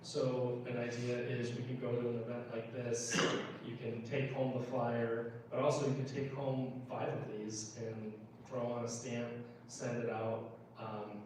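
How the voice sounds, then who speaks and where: A very reverberant, noisy room recording of an American man in middle age. He talks as a political candidate at an informal town meeting. His delivery is even in pitch, explanatory and broken by halting pauses. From Minnesota, United States. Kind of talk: informal town hall speech, answering questions. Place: indoor public meeting room, recorded from a distance. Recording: roomy echo, far mic, clear background noise.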